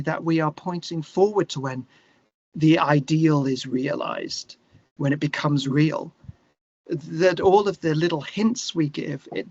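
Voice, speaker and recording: A man's voice: a little high, no room sound. A British man with a slightly raised voice talks as a guest in a casual interview. He is earnest and discursive, circling back over phrases. The sound is close and dry, with a slightly dull, lo-fi edge. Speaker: interview guest, musician and songwriter.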